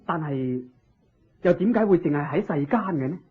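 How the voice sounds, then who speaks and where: An eager, humble king, husky voice. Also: on the high side, normal speed. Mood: curious. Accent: Hong Kong Cantonese. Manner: deferential, expressive, full of exclamations. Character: humble, eager king seeking Buddhist teaching. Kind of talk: Cantonese radio drama acting. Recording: dull lo-fi radio drama recording.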